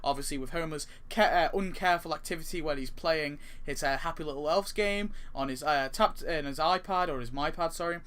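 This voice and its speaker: A man's voice: a little high, brisk, hardly any pauses, a bit bright, clean tone. A young man hosting a casual countdown video, rambling and repeating words for emphasis. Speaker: gaming video host.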